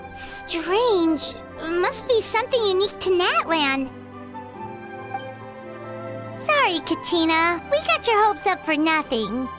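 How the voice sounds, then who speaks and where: A woman voicing a tiny, excitable, cheeky fairy companion with a childlike squeak in a video game. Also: measured pace, full character voice. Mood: puzzled. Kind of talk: English video game voice acting. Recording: voice over bright game music.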